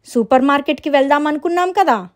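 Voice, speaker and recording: A woman's voice: sits high, animated, fast, pitched above the performer's own voice. A woman voicing a friendly, innocent young woman neighbor in a story.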